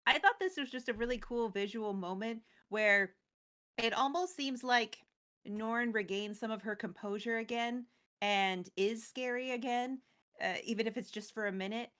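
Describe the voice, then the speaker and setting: A woman speaks in a somewhat high voice. She co-hosts a recap show and retells the story casually. Her delivery is expressive and slangy, with a joking streak. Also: moderate pace. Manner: expressive, slangy, acts out quotes playfully.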